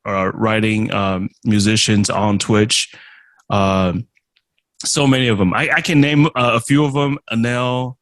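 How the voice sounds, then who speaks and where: A man from Taiwan whose first language is Chinese, a musician and streamer answering interview questions in a halting way, with many restarts and fillers. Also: fairly low pitch, dry recording. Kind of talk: casual interview.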